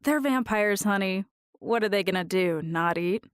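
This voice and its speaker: A woman acting as a sassy, laid-back greeter in a vampire household. The voice is mid-pitched and close and dry, with teasing endearments and a breezy, patronising air suited to audio drama. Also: normal speed, clean tone, no room sound, lightly acted.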